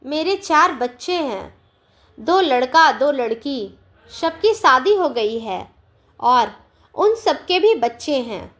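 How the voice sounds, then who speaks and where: A woman's voice: high-pitched, expressive, slow, fairly bright tone, exaggerated voice. A woman storyteller voicing a cheerful, self-reliant old tea seller, plain-spoken and deferential. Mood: resigned, contented.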